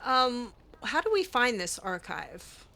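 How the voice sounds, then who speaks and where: A woman's voice: a little high, big pitch swings, slow pace, sharp tone. A woman role-playing her character in a video game, improvising her replies in casual, hesitant, conversational phrases. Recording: faint background noise.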